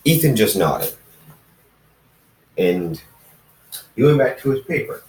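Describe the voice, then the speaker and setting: A man reading fan fiction aloud as an amateur narrator, halting and often going back to repeat phrases. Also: lowish voice, big pitch swings, a little mellow. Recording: roomy echo, distant from the mic.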